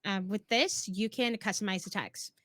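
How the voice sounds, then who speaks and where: A woman's voice: mid-range voice, moderate pace, fairly bright tone, no echo. A woman co-hosting a live stream tutorial, upbeat and affirming as she walks viewers through each step, her voice a little worn. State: slightly losing her voice. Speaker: co-host, platform guide.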